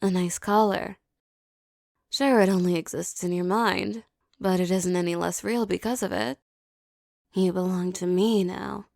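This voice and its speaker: Slow, gravelly-voiced commanding woman. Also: on the low side, steady tone, no echo, studio quality. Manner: slow, soft, commanding and teasing. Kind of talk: scripted hypnosis roleplay audio.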